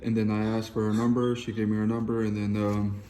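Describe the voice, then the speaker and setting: Laid-back man, low mellow voice. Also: little pitch movement, quick pace. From Denver City, Texas. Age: 20s. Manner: laid-back, joking, swears casually. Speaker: co-host of a couples channel. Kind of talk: casual chat while eating.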